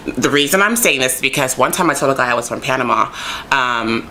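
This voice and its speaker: A woman vlogger chatting casually to camera, rambling with lots of ums and likes and jumping up in pitch when excited. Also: brisk, slight background noise, rough recording. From United States. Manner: animated, jumps high when excited.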